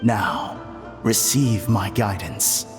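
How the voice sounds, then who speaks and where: A man playing a calm, self-assured spiritual guru in an anime-style video game, speaking solemnly and portentously. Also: on the low side, very slow. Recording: long reverb, music behind.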